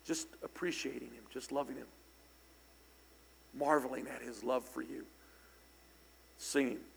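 A middle-aged man preaching a sermon in church, slightly hoarse and raspy from singing, conversational with loud bursts of emphasis. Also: medium pitch, steady tone, a little slow, slight background noise. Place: church sanctuary. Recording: long hall reverb in church.

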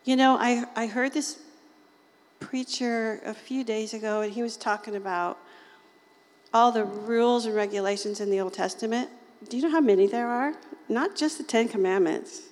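Raspy woman preacher, folksy and chatty, talking to a small group in a hall with a long echo. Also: fairly high pitch, normal speed, darkish tone. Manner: chatty, folksy, often laughs and acts out quoted voices. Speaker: lay preacher. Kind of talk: informal sermon to a small group.

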